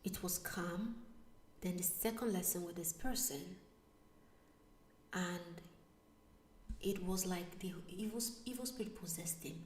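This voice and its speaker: A woman vlogger telling a personal story in a casual, animated way, sometimes dropping to a whisper. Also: on the low side, unhurried, long pauses, distant mic, a little hiss or hum. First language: not Italian. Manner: animated, drops to a whisper for asides. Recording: echoey room, voice away from mic.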